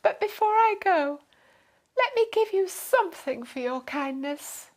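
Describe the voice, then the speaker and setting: Storybook older lady, voiced by a woman: well-to-do, flustered and polite, high voice, very animated. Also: measured pace, exaggerated voice. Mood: grateful, gracious. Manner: gushing, apologetic, polite.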